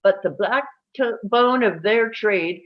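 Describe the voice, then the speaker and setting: A woman's voice: mid-range voice, slow pace. Woman reading narration, dull lo-fi sound. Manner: storytelling read with occasional stumbles over words. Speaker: narrator in a script table read. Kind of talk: scripted narration, read aloud live.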